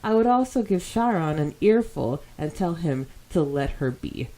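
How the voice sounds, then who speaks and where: Mellow, low-voiced woman chatting on a podcast. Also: lively intonation, moderate pace, poor audio. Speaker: podcast host. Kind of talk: casual fan chat, reading out listener comments.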